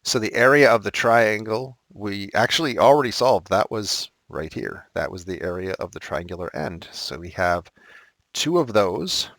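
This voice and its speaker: Man, math teacher, slightly raspy, low pitch, casual self-correcting, dry recording. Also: animated, normal speed, fairly bright tone, dry recording. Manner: casual, thinks aloud, corrects himself. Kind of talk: recorded math lesson walkthrough.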